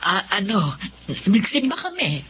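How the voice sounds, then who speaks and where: A woman's voice: medium pitch, big pitch swings, a little slow. A woman playing a nervous, hesitant middle-aged mother and wife in a family drama. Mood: flustered. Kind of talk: dramatic dialogue acting. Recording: dull lo-fi audio, faint noise.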